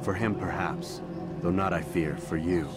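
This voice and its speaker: An actor playing a stern, defiant medieval assassin in a video game, terse and measured in his speech. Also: low voice, fairly even intonation, over loud music. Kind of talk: video game cutscene acting.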